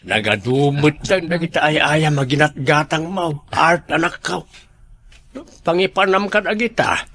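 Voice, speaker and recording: A man with an Ilocano accent acting a nosy, doubting companion in a radio drama, questioning in an animated way. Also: a little high, measured pace.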